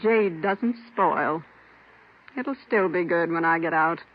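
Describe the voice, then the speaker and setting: A woman's voice: on the low side, takes it slowly. A woman playing a cool, defiant criminal schemer in an old radio police drama, haughty and controlled. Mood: cool defiance. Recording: dull old radio recording.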